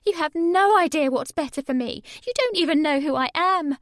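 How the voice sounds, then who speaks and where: A woman voicing a desperate pregnant teenage girl who cries and shouts. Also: high-pitched, little pitch movement, measured pace, no echo, clean studio sound, voice pushed up. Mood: furious. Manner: shouting, tearful.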